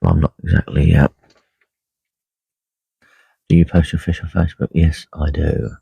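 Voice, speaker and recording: A man with a croaky voice talking quietly and unhurriedly on a live stream from a lake bank, full of ums and uhs. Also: extremely low pitch, flat delivery, normal speed, no room sound. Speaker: carp angler livestreaming. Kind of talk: casual live stream chat. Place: lakeside fishing swim outdoors. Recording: dull lo-fi live stream audio.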